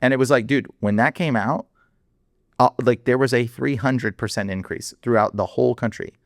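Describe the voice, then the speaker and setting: A man speaking as a guest in a casual interview, animated and emphatic, full of fillers. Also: medium pitch, normal speed, gravelly, no room sound, clean studio sound.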